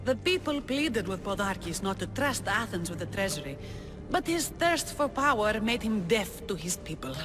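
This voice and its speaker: An actress playing a proud, weary rebel leader of ancient Greece in a video game, speaking in a wary, commanding, theatrical way. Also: female voice, mid-range voice, very animated, moderate pace, natural voice. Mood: bitter. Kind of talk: dramatic video game cutscene acting. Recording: reverberant hall, music behind.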